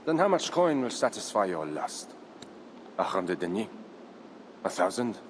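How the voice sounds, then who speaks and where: A proud, shrewd Frankish count negotiating in a video game. His pitch swings dramatically through formal, pointed phrases. The voice rings in a long hall over faint ambience. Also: big pitch swings, slight background noise. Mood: guarded, sardonic. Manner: formal, measured, pointed rhetorical questions.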